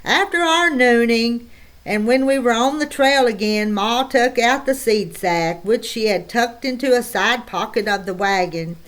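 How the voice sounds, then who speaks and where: Female audiobook narrator, gentle first-person storytelling, slightly high pitch.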